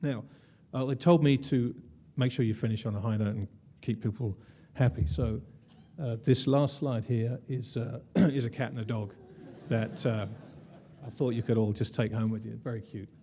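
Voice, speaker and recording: A man's voice: mid-range voice, steady tone, normal speed, mellow. A man presenting slides at a conference, wry and conversational, with frequent "uh" fillers. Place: conference room.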